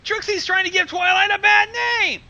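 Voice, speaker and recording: An excitable commentator of unclear sex, reacting to a story with dramatic, drawn-out exclamations and jokes. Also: very high-pitched, muffled recording, noisy background.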